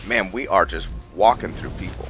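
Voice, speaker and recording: A man hosting a gameplay video with casual roleplay commentary, thinking aloud in a halting way. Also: low voice, gravelly, over music.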